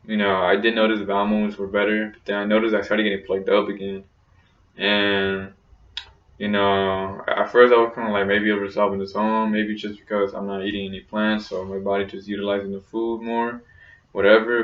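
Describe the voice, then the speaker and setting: A man's voice: lowish voice, a bit bright. A young man talking casually in a personal vlog, rambling with lots of fillers and restarts. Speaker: vlogger. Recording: roomy, distant, dull lo-fi sound.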